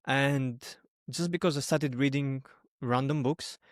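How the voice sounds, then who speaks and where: A man's voice: mid-range voice, fairly even intonation, a little slow, no echo, clean studio sound. A young man from Greece, born in Albania, speaking English as a non-native language, chatting casually as a podcast host. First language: not English, which is a third language. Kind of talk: casual personal conversation.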